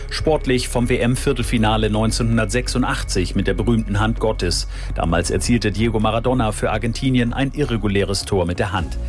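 A man gives a short sports report for the radio news. His voice is fairly low and his delivery even and formal. A faint music bed plays behind.

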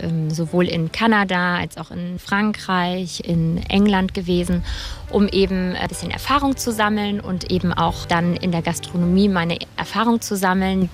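Low-voiced woman giving interview answers. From Rhineland, Germany. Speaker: hotelier and restaurant host, interview guest.

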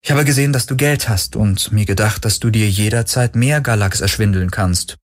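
A man's voice: lowish voice, normal speed, voice pushed up, close to an everyday voice. A man voicing a weary, wry young woman, a former runaway and swindler, in an audiobook with subdued delivery. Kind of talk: audiobook character dialogue.

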